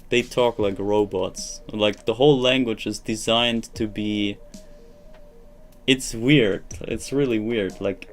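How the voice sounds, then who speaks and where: A young man who grew up speaking German rambles through a casual live-stream chat in English. His voice sits low and he talks slowly, often restarting as he hunts for the right words, while quiet game music plays behind him.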